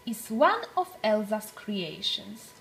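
A woman reading a storybook aloud for young children, with deliberate, expressive phrasing. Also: medium pitch, vocal fry, over loud music, rough recording.

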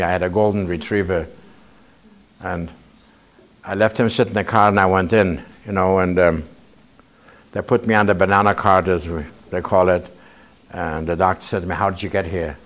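A man in his late seventies speaks to a student group in a slightly low voice, telling his story in an informal, conversational way. He often pauses to check in with his listeners. He is recorded indoors on a small clip-on mic. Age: 70s. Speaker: Holocaust survivor speaking to students. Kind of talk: informal testimony talk to a student audience. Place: indoor museum room.

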